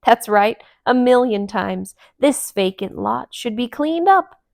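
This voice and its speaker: A woman's voice: on the high side, expressive, slow, vocal fry, full character voice. A woman voicing a pompous, long-winded male vulture in a children's storybook, speechifying grandly and repetitively. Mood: self-important.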